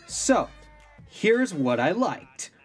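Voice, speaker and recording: A man's voice: high voice, big pitch swings, drawn-out words, husky. A man in his thirties co-hosting a film review, giving emphatic opinions as commentary. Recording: music bed under voice.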